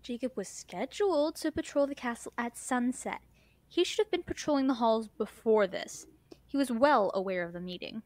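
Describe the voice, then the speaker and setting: Higher-pitched, businesslike female mercenary, acted by a woman. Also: lively intonation, moderate pace, no room sound, natural voice. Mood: businesslike, respectful. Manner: formal, matter-of-fact. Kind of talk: audio drama acting.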